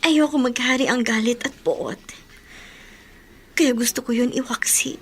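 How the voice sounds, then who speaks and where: An actress playing a hurt young student torn between resentment and forgiveness, in emotional Filipino radio drama with a Filipino accent. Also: female voice, fairly high pitch, slightly rough. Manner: slow, drawn-out, emotional delivery. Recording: dramatic music under the voice.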